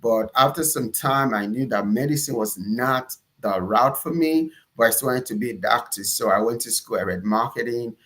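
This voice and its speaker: A man with a Nigerian accent and a medium-pitched voice talks as a guest in an interview. He tells his personal story conversationally, leaning on key words and repeating them for emphasis. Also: normal speed, few pauses, fairly bright tone. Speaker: interview guest, entrepreneur and pastor.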